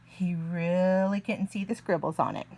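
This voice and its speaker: A woman reading a picture book aloud to young children in an expressive, deliberate way. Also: on the low side. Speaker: children's story reader, early learning teacher.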